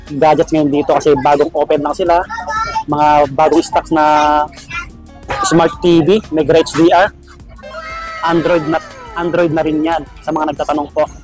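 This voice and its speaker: Male vlogger, middle-aged, high voice, upbeat and chatty, casual market-tour delivery over music. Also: rough recording. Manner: upbeat, chatty, addresses viewers as friends. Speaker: vlogger, host. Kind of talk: casual shopping vlog narration. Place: busy public market and gadget stalls.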